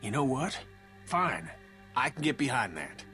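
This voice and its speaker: An actor playing a hot-headed, stubborn middle-aged survivor in a dramatic video game, with a blunt, agitated manner. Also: male voice, mid-range voice, big pitch swings, measured pace, pushed and bright, soft background music. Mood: impatient, determined.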